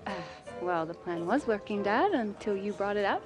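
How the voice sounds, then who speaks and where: A woman's voice: mid-range voice, lively intonation, normal speed, music in the background, natural voice. A woman playing a teasing young footballer and little sister in a video game cutscene.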